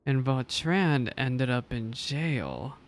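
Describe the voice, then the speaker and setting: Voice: mid-range voice, animated, slow. A streamer giving casual, off-the-cuff reactions while playing a story game.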